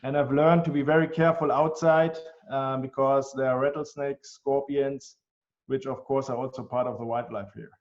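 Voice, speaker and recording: A man with a German accent, answering interview questions in an earnest, measured way with frequent ums. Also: medium pitch, steady tone. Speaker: guest, healthcare tech executive. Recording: dull, lo-fi sound.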